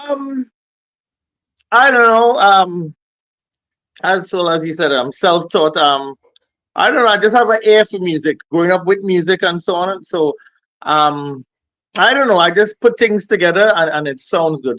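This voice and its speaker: Middle-aged Trinidadian man, notably high voice, dry close sound, hesitant casual talk. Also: expressive, normal speed, fairly bright tone, no room sound, rough recording. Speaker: interview guest, music producer and DJ. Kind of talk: casual chat, reminiscing. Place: remote call, on a phone.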